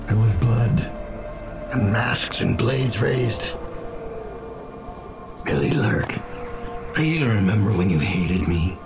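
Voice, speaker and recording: Slow, deep, haunted old assassin. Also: male voice, exaggerated voice. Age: older. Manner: slow, brooding delivery. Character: weary, haunted old male assassin trapped in the Void. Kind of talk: video game dramatic acting. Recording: music under the voice. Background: music.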